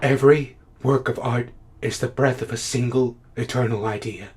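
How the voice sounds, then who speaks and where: A man performing a theatrical monologue as a fervent, awkward, idealistic literary critic, halting at times and then declamatory. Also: high voice, animated, a bit bright, heavily acted. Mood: flustered.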